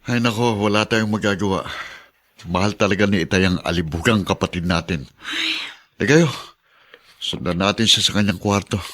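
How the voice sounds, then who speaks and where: An actor playing a resigned, dutiful grown son and peacemaking brother in a radio drama, measured and persuasive. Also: male voice, fairly low pitch, fairly bright tone. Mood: resigned, persuading. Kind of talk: Tagalog radio drama acting.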